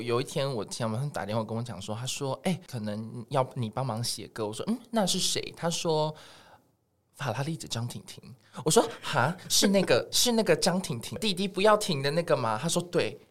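Taiwanese man, animated and detailed. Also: mid-range voice. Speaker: guest music producer. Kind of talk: casual podcast interview chat.

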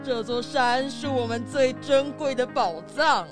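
A frail old mountain grandpa, sighing and anxiously admonishing. It is a storybook radio-play voice with clear music behind it. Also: female voice, sits high, measured pace. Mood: worried. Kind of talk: children's audio drama.

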